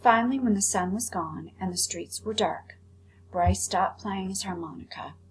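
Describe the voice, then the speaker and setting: A woman's voice: mid-range voice, normal speed, low-quality recording. Woman teacher reading aloud over background hum. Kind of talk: expressive storybook read-aloud with commentary for pupils. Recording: clear background hum and noise.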